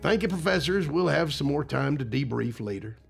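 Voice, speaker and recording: A man's voice: a little high, animated, moderate pace, gravelly, soft background music. A man acting in an audio drama as a confident, enthusiastic time-travel scientist who explains things informally. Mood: easygoing.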